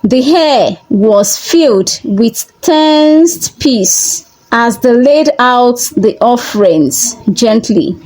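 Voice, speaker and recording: A woman narrating a scripted folktale as a storyteller, in deliberate, measured short phrases. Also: a little high, animated, darkish tone, low-quality recording.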